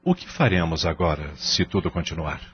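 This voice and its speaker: Man acting worried father, middle-aged, very low pitch, measured, husky, audio novela, muffled sound. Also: expressive, full character voice. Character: uneasy middle-aged father, grieving businessman son. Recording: muffled, lo-fi audio drama track.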